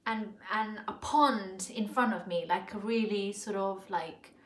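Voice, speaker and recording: Slow, musing woman in an echoey room. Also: medium pitch, fairly even intonation. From Thailand. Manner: slow, musing, many fillers and restarts. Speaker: perfume reviewer. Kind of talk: casual spoken review. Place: living room sofa, indoors. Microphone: camera in front at close range.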